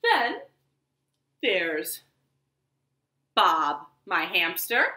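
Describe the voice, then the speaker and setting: A young woman hosting a children's storytime at home, reading aloud and chatting to young children in an animated, deliberate way. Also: lowish voice. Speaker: library storytime host and reader. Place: small living room at home, indoors. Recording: roomy living-room echo.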